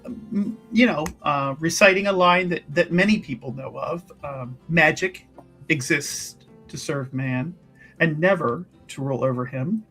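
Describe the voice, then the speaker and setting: Higher-voiced man playing a tabletop game. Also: measured pace, bright, forceful tone, faint music behind, poor audio. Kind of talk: improvised in-game narration and chat.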